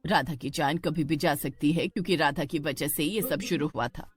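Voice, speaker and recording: A woman's voice: deep voice, normal speed, breathy edge, voice pushed down. A woman voicing a remorseful village aunt in a cartoon horror story, grave and apologetic as she warns others. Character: remorseful village aunt confessing and warning of doom.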